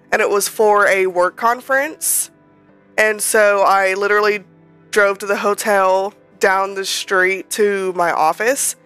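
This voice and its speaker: A woman's voice: mid-range voice, fairly bright tone, clean tone. Chatty woman, slightly nasal from a cold. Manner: chatty and rambling, many ums and restarts. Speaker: Sims speed-build creator. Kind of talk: casual voice-over commentary.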